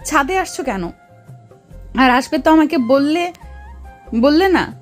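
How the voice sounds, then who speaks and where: A woman's voice: sits high, expressive, measured pace, soft background music. A woman playing an affectionate, anxious young woman in an audio love story. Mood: mildly scolding.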